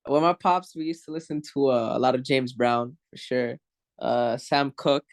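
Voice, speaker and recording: A young man from Morocco chats as a guest musician, relaxed and rambling. His voice is mid-pitched with a gravelly, fry-laden edge. He laughs easily between thoughts. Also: moderate pace. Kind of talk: casual interview.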